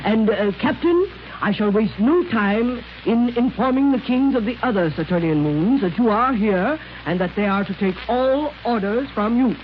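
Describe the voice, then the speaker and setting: Humble, eager alien ruler, lively pitch. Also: male voice, normal speed, few pauses. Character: deferential, trusting male ruler of a Saturn moon. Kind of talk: 1930s radio serial acting. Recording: dull, hissy 1930s radio recording.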